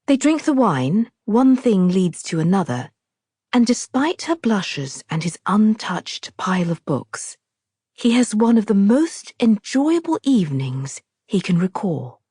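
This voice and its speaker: A woman reading a novel aloud as a scripted literary narration, in a measured, deliberate, expressive storytelling manner. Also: medium pitch, husky, dry recording, clean studio sound. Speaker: author narrating her own audiobook.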